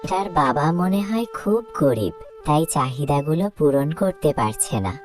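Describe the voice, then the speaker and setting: Lady doing a deep motherly older she-ghost, coaxing and cautious, cartoon, faint music. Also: big pitch swings, moderate pace, a little mellow. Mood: concerned, coaxing. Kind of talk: cartoon fairy-tale voice acting.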